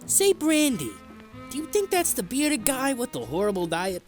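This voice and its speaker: Woman doing an excitable cheeky green imp, wildly swooping. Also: high voice, normal speed, soft background music, pitched above the performer's own voice. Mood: nervous. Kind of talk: rhyming Christmas story character voice.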